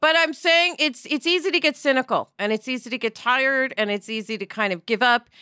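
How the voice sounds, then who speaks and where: A middle-aged woman co-hosting a podcast, chatting in a lively way with lots of asides. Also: high voice, fairly quick, clean tone, no room sound, studio quality. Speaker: podcast co-host. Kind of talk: casual podcast discussion.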